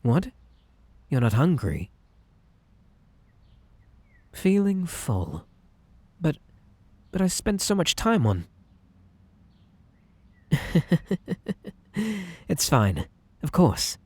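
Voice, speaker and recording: A man playing a possessive, unhinged magical bunny boy in an ASMR roleplay, with a British accent, a breathy voice and a theatrically polite, coaxing, menacing manner. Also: a little high, wildly swooping pitch, drawn-out words, pauses often, a little mellow, no room sound, exaggerated voice. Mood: wounded, unstable.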